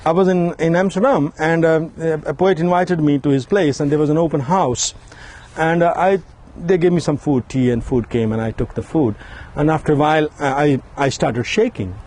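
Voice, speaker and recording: A man's voice: on the high side, moderate pace, a little mellow. A man, a poet presenting his own work live in a dramatic, declamatory way. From Nepal. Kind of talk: live poetry reading with spoken introduction.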